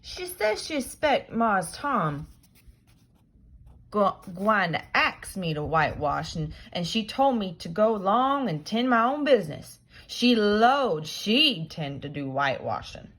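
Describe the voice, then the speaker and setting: A woman's voice: mid-range voice, big pitch swings, slow pace, fairly bright tone, voice pushed up, heavily acted. A woman doing a fretful young servant. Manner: fretful, exclaiming, hesitant. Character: nervous, excitable young male servant.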